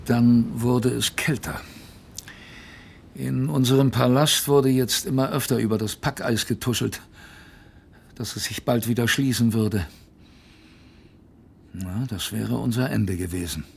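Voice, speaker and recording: Polar survivor telling his tale, radio play, unhurried, creaky voice, vivid and chatty. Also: mid-range voice, steady tone. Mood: grave, tense. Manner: vivid, conversational, dramatic pauses. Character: earnest former expedition member recounting his survival story. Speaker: narrator. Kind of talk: first-person radio play narration.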